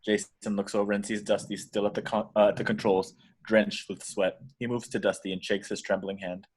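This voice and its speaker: A man's voice: on the low side, flat delivery, fairly quick, darkish tone, breathy edge. A man reading out the action descriptions as scripted narration in a table read.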